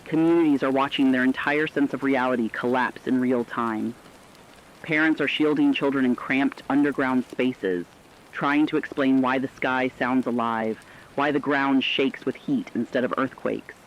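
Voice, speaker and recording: A man's voice: medium pitch, fairly even intonation, moderate pace, muffled recording, a little hiss or hum, close to an everyday voice. A man acting as a radio correspondent, reading scripted dramatic news in a solemn, earnest, rhetorical manner. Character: solemn radio correspondent reporting human survival amid apocalypse.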